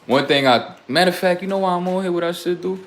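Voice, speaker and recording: A man's voice: high-pitched, quick pace. Blunt young man preaching in slang. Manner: rambling, drifts between topics. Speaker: self-styled life coach. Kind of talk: casual livestream talk to chat.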